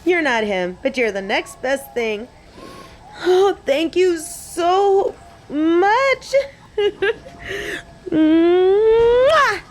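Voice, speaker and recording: A young woman playing an excitable, sassy biker and machine nerd on a date in a romantic audio roleplay. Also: extremely slow, pauses often, exaggerated voice. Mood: gushing, excited. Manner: gushing and teasing, swinging between squeals and low deadpan. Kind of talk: romantic audio roleplay, scripted monologue to an unseen listener.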